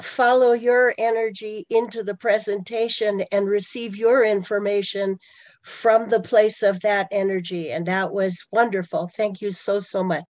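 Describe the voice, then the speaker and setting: A woman's voice: medium pitch, steady tone, unhurried, darkish tone, dry recording. A workshop participant, a woman speaking earnestly and appreciatively over a video call.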